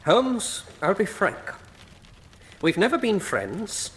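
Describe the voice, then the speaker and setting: A high male voice rings in a big reverberant space as a pompous Victorian official. His delivery is stern, blustering and emphatic, swinging widely in pitch, in old-style radio drama fashion. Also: huge pitch swings, takes it slowly, fairly bright tone, slight background noise, heavily acted. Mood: stern, accusing. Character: stern, indignant, by-the-book Victorian official, a titled gentleman. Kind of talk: full-cast radio drama acting. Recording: reverberant hall sound.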